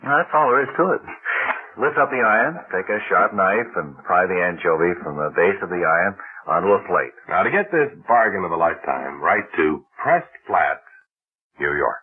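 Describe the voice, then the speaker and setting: Deep-voiced deadpan radio pitchman. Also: moderate pace, breathy edge. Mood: deadpan instructing. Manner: deadpan, step-by-step instructing. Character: earnest, bumbling male demonstrator in a parody sales pitch. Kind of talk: deadpan radio comedy sketch. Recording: dull, band-limited old radio recording.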